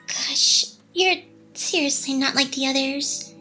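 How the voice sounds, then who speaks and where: High-pitched, lovestruck girlish AI hacker played by a woman. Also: soft background music, full character voice, poor audio. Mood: teasing confession. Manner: breathy sighs, soft teasing, halting confessions. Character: playful lovestruck female AI hacker, possessive and teasing. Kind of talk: intimate ASMR roleplay acting.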